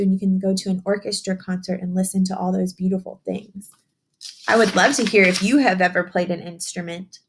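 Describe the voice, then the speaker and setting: A woman reads to young children in a teacherly way. Her voice sits at a middle pitch with a slightly husky, unpolished edge. She speaks simply and encouragingly, moving between storybook reading and chatty explanation. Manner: encouraging, addresses young listeners with simple questions. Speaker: teacher reading a picture book aloud to children. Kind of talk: children's read-aloud with chatty explanations and questions.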